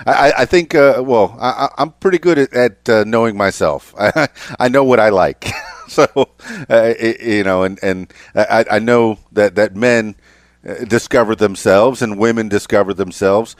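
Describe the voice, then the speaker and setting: Halting man in his fifties interviewing, lowish voice. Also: no room sound, studio quality. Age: 50s. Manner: halting, repeats words. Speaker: podcast host interviewer. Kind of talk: casual interview chat.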